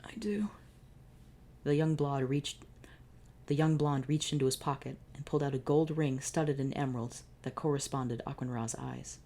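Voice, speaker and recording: A woman reading fanfiction aloud in a deadpan narration, breaking off for exasperated sarcastic asides. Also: rumbling low, normal speed. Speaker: fanfiction reader.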